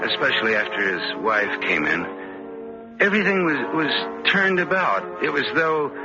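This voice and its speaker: An actor playing a troubled, guilt-ridden young stowaway in an old radio drama, confessional and halting. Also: male voice, mid-range voice, lightly acted. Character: troubled young male stowaway, guilt-haunted, guarded and meek. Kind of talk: 1940s radio drama acting, first-person narration and dialogue. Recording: muffled old radio recording, loud music behind.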